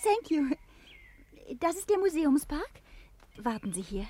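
An actress playing the affectionate, impatient wife of a roguish adventurer in a German comedy drama, expressive and coquettish, with sighing exclamations. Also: female voice, high-pitched, unhurried. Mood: delighted, teasing.

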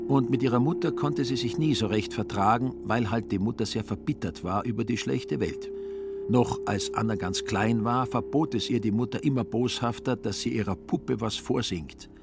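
A man narrating a literary radio play in a dry, ironic storytelling manner. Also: lowish voice. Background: music.

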